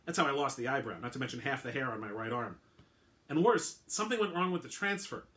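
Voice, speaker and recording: A man's voice: mid-range voice, expressive, quick pace, a bit bright, lightly acted. A man voicing a middle-aged male clone henchman, earnest and rattled, who keeps correcting himself as he confesses in a story reading. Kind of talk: dramatic reading of a short story.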